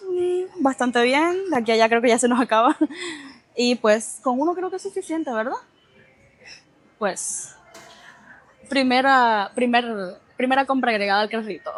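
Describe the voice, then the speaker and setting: A woman's voice: on the high side, rough recording. A woman with a Cuban accent hosting a vlog, chatting casually and expressively to the camera. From Cuba. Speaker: vlog host.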